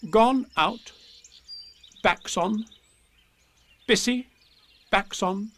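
A man's voice: sits high, extremely slow, a bit bright, voice pushed up, exaggerated voice. A man voicing a self-important, curt and impatient rabbit in a children's story. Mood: excited, self-important.

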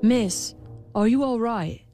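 A woman voicing a kind young prince in a storybook tale, gentle, earnest and courteous. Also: a little high, takes it slowly, faint music behind, pitched above the performer's own voice. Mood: concerned. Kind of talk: storybook character voice.

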